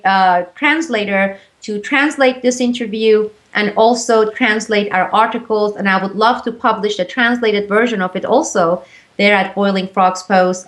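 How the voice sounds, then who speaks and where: Interview guest: woman, Turkish first language, earnest and explanatory, mid-pitched, halting with fillers. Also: a bit bright. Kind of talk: interview conversation.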